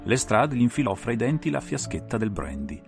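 A man's voice: mid-range voice, very animated, fairly quick, gravelly. A man narrating an audiobook in a dramatic, theatrical and suspenseful style. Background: faint music and sound design.

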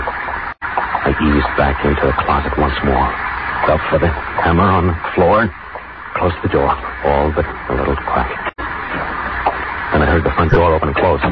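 A man's voice: very deep voice, normal speed, pauses often. An actor with an American accent playing an anxious, weak-willed lovesick man narrating a 1940s radio thriller, hesitant and intense. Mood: tense, fearful. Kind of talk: 1940s radio suspense acting with first-person narration. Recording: muffled 1940s radio recording, music behind.

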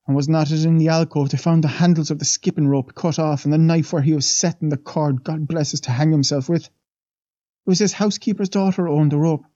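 A man's voice: on the high side, mellow, no echo, pitched above the performer's own voice, close to an everyday voice. A man reading an audiobook voices a superstitious middle-aged Irish housemaid who chatters with fearful, pious asides, on a dull, dry recording.

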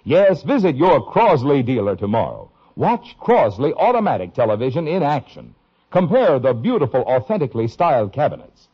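An American man delivering an emphatic 1950s radio advertising pitch on a dull old recording. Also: sing-song, normal speed, husky. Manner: emphatic, salesmanlike. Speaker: commercial announcer.

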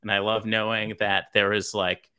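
Guest writer, man, monotone, lots of ums, bright tone, lowish. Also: normal speed, clear, resonant tone. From Los Angeles, USA. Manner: monotone, hesitant with many fillers. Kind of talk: casual interview answers.

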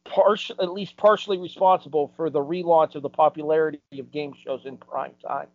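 A man giving opinions as a podcast panelist, building his points emphatically and deliberately. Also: fairly high pitch, steady tone, darkish tone, dry recording. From United States. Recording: dull, lo-fi close sound.

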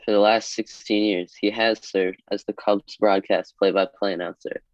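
A dull, lo-fi recording of a man co-hosting a casual interview. His voice is fairly low, and the sound is dry with no room on it. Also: steady tone, normal speed, no echo. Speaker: show co-host.

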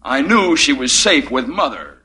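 A melodramatic lovesick werewolf in disguise. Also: male voice, medium pitch, sing-song, a little slow, bright, forceful tone, slight background noise. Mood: confessional, wry. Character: lovesick, anxious male werewolf posing as advertising executive. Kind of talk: 1950s radio comedy acting.

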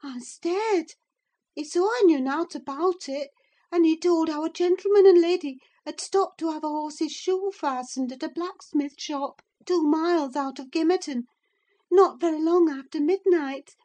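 Shrill, breathless young maid. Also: female voice, unhurried. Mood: breathless gossiping. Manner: breathless, excitable, gushing. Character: panicked, breathless young servant maid, chattering rustic gossip. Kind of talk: audiobook character acting.

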